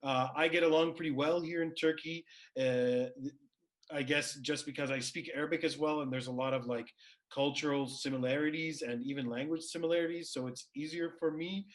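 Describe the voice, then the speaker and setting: A man with a slightly high voice talks casually as a regular on a language show. He is a native English speaker, and his phrasing is loose and hesitant. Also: fairly even intonation, brisk. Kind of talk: casual unscripted introduction to viewers.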